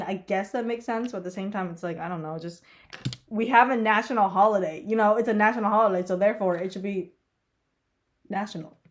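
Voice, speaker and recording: A young woman, a college student, chatting casually while getting ready, stuffed up and congested, rambling with lots of fillers, swearing and laughing. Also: lowish voice. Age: young adult. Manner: rambling, profane, giggly, many fillers. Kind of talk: casual get-ready-with-me vlog chat.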